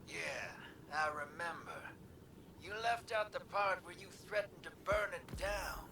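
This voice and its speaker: A man's voice: high voice, a little slow, background noise. A man playing a wry, teasing old immortal rogue and former barkeep in casual video game dialogue. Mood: guarded.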